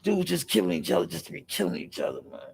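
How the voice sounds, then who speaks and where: Middle-aged man, raspy and gravelly, a bit high-pitched, emphatic, profane street talk. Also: huge pitch swings, fast talker, darkish tone. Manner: rambling with restarts. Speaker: podcast speaker. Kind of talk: impassioned street-life monologue.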